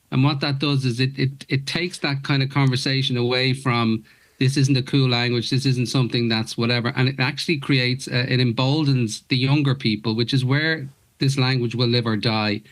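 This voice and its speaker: A man's voice: mid-range voice, rough recording. An Irish man whose first language is Irish, an actor guest in a radio interview, talking earnestly with many stops and restarts. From Ireland.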